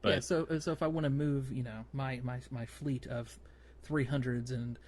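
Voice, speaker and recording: A man hosting a casual gaming podcast, conversational and a little halting as he thinks aloud. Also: medium pitch, fairly even intonation, a little mellow. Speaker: podcast host. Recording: faint background noise.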